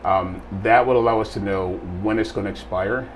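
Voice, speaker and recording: A middle-aged man from Barbados, seated in an interview and explaining his work in a measured way, with frequent pauses and fillers. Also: on the low side, a little hiss or hum. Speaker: guest, quality assurance technician. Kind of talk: casual interview answers. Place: small studio room with plain wall.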